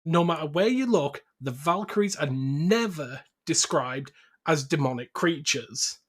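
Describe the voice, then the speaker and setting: A man's voice: fairly high pitch, expressive, measured pace, breathy edge. A man talking casually as a podcast host about film, opinionated and swearing freely.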